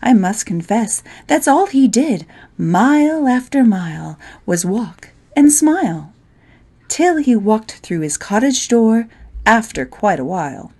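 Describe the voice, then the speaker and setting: A woman reads rhyming verse as a storybook narrator, playful and theatrical, with measured phrasing. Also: fairly high pitch, sing-song, a little mellow, slightly rough. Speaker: poetry reader.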